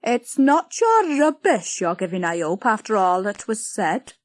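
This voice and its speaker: A woman voicing a nosy, greedy middle-aged village busybody who talks loudly and gushes with exclamations. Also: medium pitch, sing-song, a little slow, no echo. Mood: indignant. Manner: loud, gushing, exclaiming.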